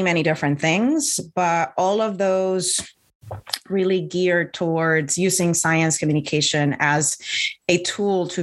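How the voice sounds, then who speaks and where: A woman from Puerto Rico in her thirties, a guest on a podcast, talking thoughtfully with many fillers. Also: fairly low pitch. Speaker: podcast guest, science communicator. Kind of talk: conversational interview.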